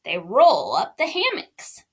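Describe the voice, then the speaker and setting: A woman voicing a gruff, bossy old male pirate captain in a storybook reading. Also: on the high side, wildly swooping pitch, takes it slowly, voice pushed down, full character voice. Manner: growling, blustery.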